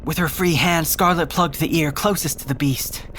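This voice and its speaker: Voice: medium pitch, steady tone, moderate pace, fairly bright tone, slight background noise. A narrator reading scripted, descriptive prose for an audio drama. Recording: music behind the narration.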